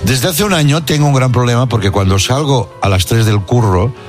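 A man's voice: medium pitch, a little fast, soft background music. A man co-hosting a radio comedy show, playfully reading listener messages aloud and bantering about them.

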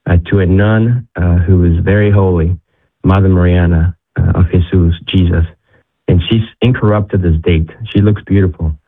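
A young man from Ecuador, a guest telling his story in casual talk with many restarts. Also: low voice. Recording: tinny phone-like line.

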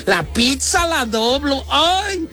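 A man's voice: very high-pitched, big pitch swings, slow, music in the background. A man with a Mexican accent telling casual, jokey personal anecdotes as a chatty, colloquial radio listener. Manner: chatty, colloquial, fond of diminutives. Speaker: radio show listener sending a message.

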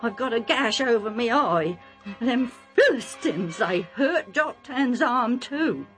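Lady doing a worked-up herdsman servant in a kids story, rough country talk, high pitched, pleading. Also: lively intonation, takes it slowly, fairly bright tone, soft background music. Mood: indignant. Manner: rustic uneducated speech, emphatic and breathless pleading.

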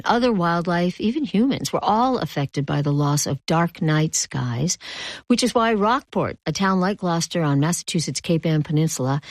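A woman hosting a public radio news program, introducing stories and interviewing guests in a measured, conversational way. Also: lowish voice, no echo.

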